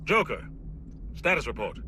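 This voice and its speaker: An actor playing a stern military captain in a video game, giving orders in a clipped, authoritative way. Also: male voice, mid-range voice, very animated, normal speed, background noise, lightly acted. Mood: stern, commanding. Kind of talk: video game cutscene acting.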